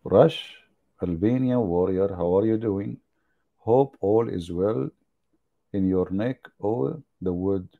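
A man's voice: on the low side, slow pace, vocal fry, narrow, tinny audio. A man hosting a livestream, joking and mocking as he talks and laughing at his own jokes. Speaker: online religious debate host. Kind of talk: casual livestream commentary.

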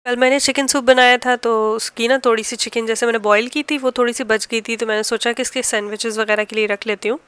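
A woman's voice: a little high, steady tone, fast talker, hardly any pauses, fairly bright tone, clear, resonant tone, no room sound. A woman in her thirties with a Pakistani accent, narrating a casual home vlog in a chatty, explanatory way. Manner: chatty, explanatory, homely everyday talk. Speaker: home cooking and family vlogger.

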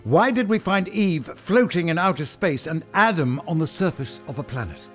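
An anguished professor in a sci-fi radio play, high in pitch for a male voice. He speaks in halting, emphatic bursts that swing widely up and down, over faint music. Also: lively intonation, a bit bright. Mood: excited realisation. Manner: halting, repeats himself, emphatic. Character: anguished, excitable male professor and protective father. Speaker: actor. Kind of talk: audio drama acting.

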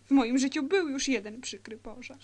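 A woman's voice: high-pitched, moderate pace, darkish tone. A woman playing a passionate, discontented young wife in a comic crime radio play, theatrical and overwrought. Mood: anxious. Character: passionate discontented young wife plotting against her husband.

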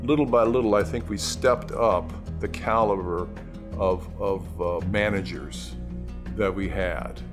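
A man's voice: fairly low pitch, fairly even intonation, unhurried, music in the background. A middle-aged or older man talking casually in a documentary interview.

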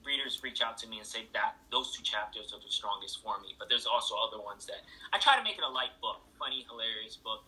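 A man's voice: on the low side, animated, quick pace, few pauses, pushed and bright, low-quality recording. A middle-aged man speaking casually and emphatically as an interviewed author on a video call, repeating phrases for effect. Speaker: interviewed author and thru-hiker. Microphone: video call played through a laptop speaker.

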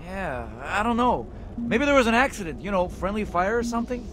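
An actor playing a nervous young Marine grunt in a sci-fi game, informal and uneasy. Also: male voice, high-pitched, sing-song, fairly quick. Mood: puzzled, casual. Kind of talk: sci-fi video game acting. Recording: clear noise and vehicle ambience behind.

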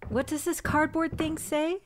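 An actress with a Mexican American accent playing a proud, vengeful young woman, intense and shifting between mutters and shouts. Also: sits high, steady tone, unhurried, background noise. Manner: intense, swinging from muttering to yelling. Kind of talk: audio drama acting.

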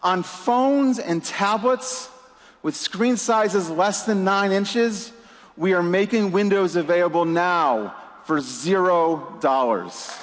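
A man's voice: high-pitched, takes it slowly. A man giving an upbeat conference keynote, emphatic, with a few "you know" fillers. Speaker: keynote presenter. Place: large conference hall. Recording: reverberant hall with audience.

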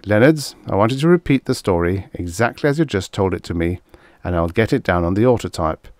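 A man's voice: sits low, animated, brisk, no echo. A stern male commanding officer , acted out by a man reading an audiobook, speaks with firm authority. He gives orders like one used to being obeyed. The sound is close and dry. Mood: controlled command.

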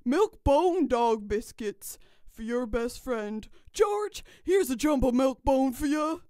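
A woman voicing a perky, friendly commercial pitch character with emphatic delivery. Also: expressive, slow pace, warm tone, no echo, studio quality.

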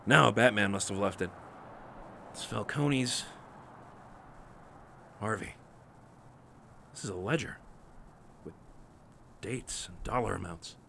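An actor playing a steady, principled police captain in a noir radio drama, gravelly, measured and earnest. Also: male voice, on the low side, a little hiss or hum, full character voice. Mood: dry, calm.

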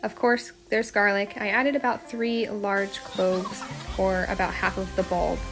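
A young woman hosting her own home cooking show, talking through each step in a relaxed, chatty way with small asides. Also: mid-range voice, unhurried, music in the background. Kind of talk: casual cooking voice-over. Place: home kitchen.

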